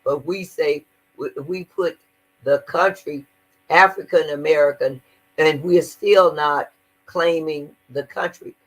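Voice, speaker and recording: A woman's voice: sits low, fairly even intonation, measured pace, poor audio. An older woman speaking earnestly in a conversational interview, stressing her points and often repeating words. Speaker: guest activist.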